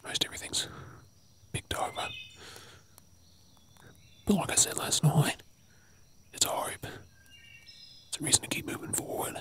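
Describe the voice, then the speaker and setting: Australian male actor for ASMR audio drama: tired, protective apocalypse survivor, whispered, urgent yet coaxing, sharp-edged. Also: unhurried, pauses often, natural voice. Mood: weary, resolute. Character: weary, protective male zombie-apocalypse survivor, gruffly hopeful. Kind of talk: whispered ASMR role play.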